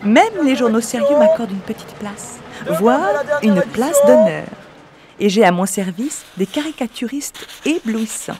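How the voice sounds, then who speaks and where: A woman's voice: a little high, expressive, a little slow, over a noisy crowd. A woman voicing caricature personified as a playful, boastful female art form, narrating in character with a teasing manner. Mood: proud. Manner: mischievous, teasing, laughs at her own exaggerations. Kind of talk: scripted documentary narration in character.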